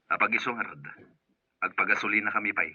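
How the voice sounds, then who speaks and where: A deep-voiced, earnest company boss. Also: male voice, fast talker, sharp tone, slightly rough, no room sound, dull audio, heavily acted. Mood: businesslike. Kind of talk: Ilocano radio drama acting.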